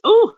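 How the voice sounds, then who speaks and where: A woman hosting a cooking livestream from her home kitchen, chatting to viewers in a rambling way while she works, her voice a little lower than usual from a cough. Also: no room sound. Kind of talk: casual livestream chat while baking. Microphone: phone mic.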